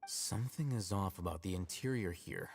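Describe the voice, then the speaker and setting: A man playing a calm, bookish, aloof young scholar in an anime game, speaking in a dry, composed way. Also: sits low, normal speed, creaky. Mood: focused. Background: game music.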